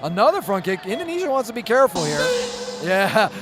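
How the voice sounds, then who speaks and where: A man doing excitable live sports commentary, chatting with viewers, his voice slightly hoarse. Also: high-pitched, a little fast, over music. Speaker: play-by-play commentator.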